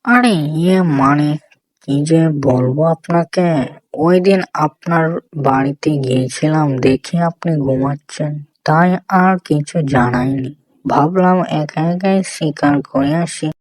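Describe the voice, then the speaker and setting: A woman's voice: low voice, a little slow, a little mellow, no echo, rough recording. A woman voicing a sly, deferential fox with a sheepish way of talking in a cartoon.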